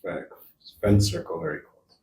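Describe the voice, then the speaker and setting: A man's voice: moderate pace, darkish tone. A man born in India and raised in the United States, a surgeon guest, telling stories in an easygoing way with some fillers. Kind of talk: casual interview chat.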